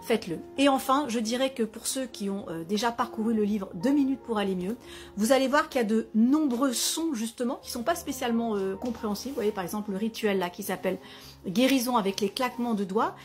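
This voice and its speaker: A woman wellness author talks to camera, explaining her idea in a lively way with examples and asides. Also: on the high side, fairly quick, soft background music.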